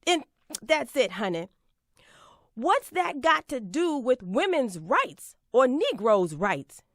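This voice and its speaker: A woman's voice: sits high, sing-song, takes it slowly, a bit bright, no echo, studio quality, heavily acted. A woman performing a speech as a fierce, plainspoken aging woman orator, speaking in folksy rhetorical questions that rise to emphatic refrains. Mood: defiant. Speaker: reader performing a historical speech. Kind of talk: dramatic recitation of an 1851 speech.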